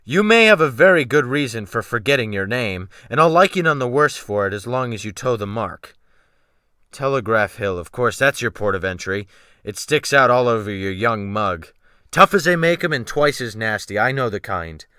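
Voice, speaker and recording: A man performs a brutal sea captain for a dramatic storybook reading. He barks and sneers his orders with commanding menace. The pitch swings widely, and the sound is close and dry. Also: animated, moderate pace, pushed and bright, smooth, dry recording. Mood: sneering, bullying. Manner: snapping, barking orders, thundering. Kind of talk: dramatic audiobook character reading.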